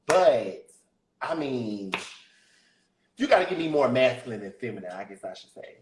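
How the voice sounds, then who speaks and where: A deep-voiced man talks candidly and openly, sitting at a middle pitch. He laughs easily as he chats. The room around him is echoey. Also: sing-song, moderate pace, noisy background. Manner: candid, chatty, laughs often. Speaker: guest musician. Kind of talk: casual interview chat.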